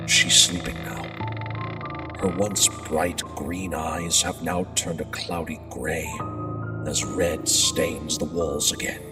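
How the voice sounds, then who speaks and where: A man plays an unhinged family man in an audio drama, very low and slow. He broods and soothes himself as he confesses, distant under loud music. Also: exaggerated voice. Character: unhinged husband and father calmly confessing to murder.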